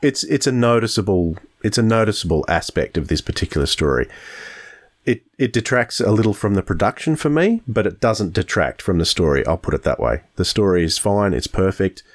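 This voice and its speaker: A man co-hosting a podcast in casual review chat, talking in a measured way and often restarting his phrases. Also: lowish voice, lively intonation. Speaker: podcast co-host.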